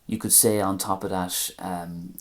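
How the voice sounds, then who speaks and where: A man with an Irish accent teaching a language lesson, saying phrases slowly and carefully one at a time. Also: sits low, flat delivery. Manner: slow, careful phrase-by-phrase pronunciation.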